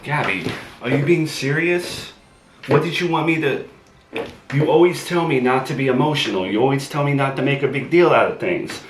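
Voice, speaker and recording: A man's voice: mid-range voice, moderate pace, close to an everyday voice. A man playing a defensive, tactless young boyfriend who argues in a rising, exasperated way in a comedic skit. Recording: roomy, distant mic, faint hiss.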